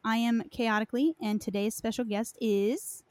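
A woman's voice: on the high side, steady tone, moderate pace, a little mellow, dry recording. A woman hosting a podcast, moving between dramatic story reading and casual, playful chat. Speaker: podcast host. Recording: clearly noisy recording with clicks.

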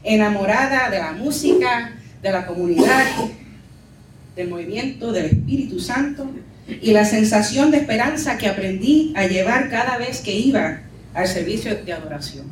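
A woman pastor from Puerto Rico, raised in New York, with a Nuyorican Spanish accent, giving an earnest and measured conference talk. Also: medium pitch, animated, a little hiss or hum. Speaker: pastor and lecturer. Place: conference hall. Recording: echoey hall, distant mic, faint music.

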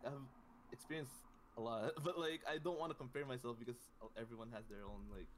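A man's voice: medium pitch, lively intonation, fast talker, slight background noise. A man in his early twenties, from the Philippines, guesting on a podcast and chatting casually with many fillers and restarts. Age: 20s. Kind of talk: casual chat.

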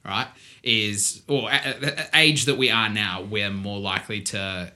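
South african guy podcasting, lowish punchy bright voice, blokey jokey slangy chat. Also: fairly even intonation, measured pace. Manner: blokey, informal and slangy, hesitant restarts, chuckles through his points. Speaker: podcast host. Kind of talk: casual, jokey podcast chat between mates.

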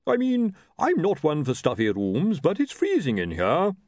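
A blustery chief priest from a fantasy storybook , performed by a man. He is blunt and impatient, with a raised, lively voice that leans hard on his words. The recording is dry and close. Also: fairly high pitch, normal speed, no echo, voice pushed up. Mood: indignant.

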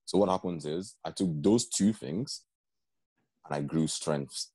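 A young man with a very deep voice, telling personal stories in an earnest, conversational way and often asking "do you know what I mean". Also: a little slow, mellow, husky, no room sound. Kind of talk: casual group chat.

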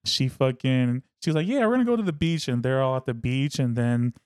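Laid-back man with a smooth voice. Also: medium pitch, a little fast, darkish tone, dry recording, studio quality. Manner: laid-back, swears casually, says "dude". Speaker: podcast host. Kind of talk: casual podcast chat.

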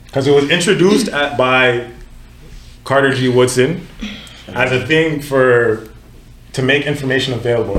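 A man's voice: medium pitch, roomy sound. A man in his twenties, from Nigeria, hosting a casual group podcast and talking things through in a conversational way with frequent self-corrections. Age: 20s. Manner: conversational, self-correcting, lots of "you know what I'm saying". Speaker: podcast host.

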